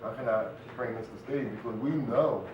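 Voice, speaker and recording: A man's voice: mid-range voice, moderate pace, muffled recording. A middle-aged American man talking casually and earnestly as an interview guest, dropping in frequent conversational asides. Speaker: interview guest, stage play writer. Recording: very echoey room, distant mic, noisy.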